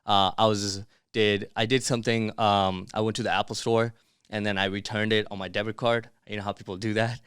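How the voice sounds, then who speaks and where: A man's voice: on the low side, little pitch movement, a little fast, fairly bright tone, clear, resonant tone, no echo, studio quality. A man from Miami by way of Bangladesh and Dubai, chatting casually as a podcast guest in an informal, slangy way. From born in Bangladesh, grew up in Dubai and Miami. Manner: informal and chatty, peppered with slang like bro and dude. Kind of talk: casual interview chat.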